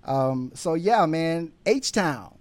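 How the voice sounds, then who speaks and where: A man's voice: a little high, lively intonation, very slow. A man hosting a podcast, chatting casually and animatedly with slang and frequent laughs. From Houston area resident, now Miami. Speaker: podcast host. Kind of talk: casual chat.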